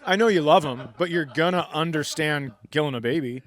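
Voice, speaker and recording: A middle-aged man co-hosting a live comedy podcast, telling crude stories in a deadpan way. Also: on the high side, fairly quick. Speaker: podcast host. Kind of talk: casual comedic chat.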